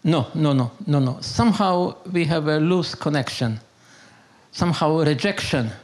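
An older man from Hungary with a Hungarian accent, a native Hungarian speaker, telling his story in halting, broken English with emphatic repetition. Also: fairly high pitch. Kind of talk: unscripted conversational storytelling and philosophizing.

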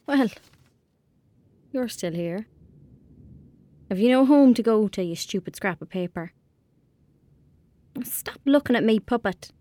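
A woman's voice: a little high, expressive, unhurried, long pauses, mellow, dry recording. A woman with an Irish accent playing a lonely, imaginative young country girl in a naturalistic audio drama, youthful and colloquial. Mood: weary, wary. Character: lonely, anxious young girl in rural Ireland, sullen and imaginative.

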